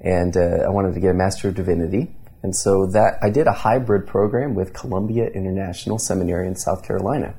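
Low gravelly-voiced earnest pastor man. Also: fast talker, dark tone. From Indiana, USA. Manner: earnest, many restarts and fillers. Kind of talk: conversational interview storytelling.

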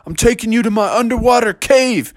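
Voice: medium pitch, a little fast, no room sound, clean studio sound, voice pushed up, close to an everyday voice. A man voicing a laid-back, friendly male lake monster who is lonely in his underwater lair.

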